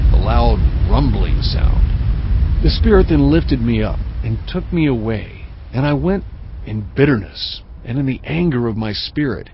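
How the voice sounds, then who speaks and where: An actor playing a solemn male prophet who recounts his visions in earnest, measured first-person scripture narration. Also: medium pitch, dull audio, close to an everyday voice.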